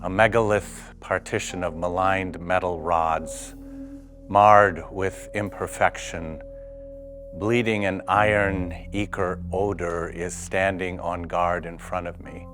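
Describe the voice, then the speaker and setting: A man giving a measured, grave spoken-word recitation. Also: low voice, fairly even intonation. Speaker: performer reading writing aloud. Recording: music behind the voice.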